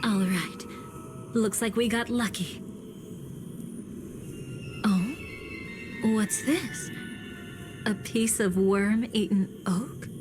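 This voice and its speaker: A woman's voice: mid-range voice, very slow, pauses often. Poised, knowing woman over music. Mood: intrigued, wry. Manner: composed, articulate, coolly confident. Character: poised, knowing, slightly teasing mysterious woman. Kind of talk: scripted game voice acting.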